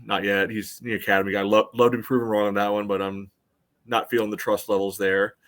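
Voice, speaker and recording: Lower-voiced man in casual soccer analysis. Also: little pitch movement, quick pace, fairly bright tone. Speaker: soccer podcast host.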